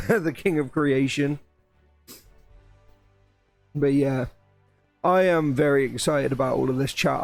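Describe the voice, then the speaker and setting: Streamer: man, somewhat high, a bit croaky, excited rambling talk over soft background music. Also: no echo. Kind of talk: casual live-stream chat while building.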